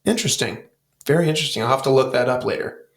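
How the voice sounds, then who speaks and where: A man in his late twenties hosting a podcast, asking questions in a playful, relaxed way. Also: mid-range voice, fast talker. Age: 20s. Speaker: podcast host. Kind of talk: casual interview chat.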